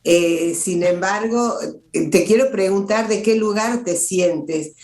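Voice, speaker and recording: A woman hosting an interview, speaking haltingly with frequent restarts. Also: mid-range voice, darkish tone, roomy sound. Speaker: host. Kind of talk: casual interview.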